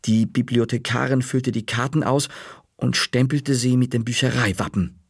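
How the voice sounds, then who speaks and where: A man narrating a children's story in a measured, dry-humored way. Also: mid-range voice, fairly even intonation, vocal fry, breathy edge, dry recording.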